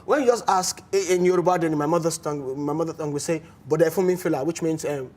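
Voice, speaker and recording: A man with a Nigerian accent hosting a casual sports talk show in a studio, animated and often restarting his words. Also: fairly high pitch, fast talker. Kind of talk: casual studio chat with phone-in.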